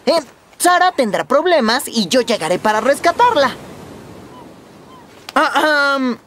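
A woman's voice: sits high, animated, takes it slowly, a bit bright, a little hiss or hum, heavily acted. A woman with a Latin American accent voicing a cocky, mischievous, bossy young boy in a cartoon dub. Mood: excited, heroic. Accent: Latin American Spanish. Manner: eager, bossy. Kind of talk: animated series dub.

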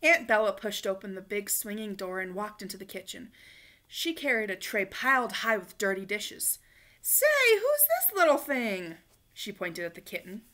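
A woman reading a children's picture book aloud in an expressive, emphatic way, acting it out for young listeners. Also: mid-range voice, moderate pace. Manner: animated, emphatic, acts out dialogue. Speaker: storybook reader. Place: small living room, indoors on a couch.

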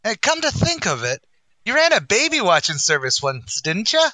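A brash, boastful New tavern manager in a 1940s radio comedy. His voice sits high and swings up and down constantly, bright and sharp-edged. He rattles off streetwise wisecracks in a dry, close sound. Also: huge pitch swings, moderate pace, dry recording, heavily acted. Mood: chatty.

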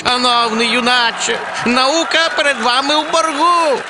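A man playing a pompous, excitable, forgetful archaeology academic in a cartoon, blustering and exclaiming. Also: extremely high pitch, a little slow, heavy background noise. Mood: solemn. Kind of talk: animated cartoon acting. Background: loud music.

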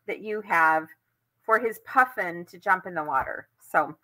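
A woman's voice: lowish voice, unhurried, sharp tone, rough recording. An older woman with an American accent hosting a craft tutorial live from home, chatting casually as she demonstrates. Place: indoors at home.

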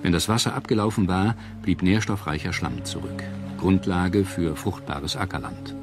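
A man reading scripted documentary narration in a measured, articulate storytelling manner. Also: deep voice. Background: background music.

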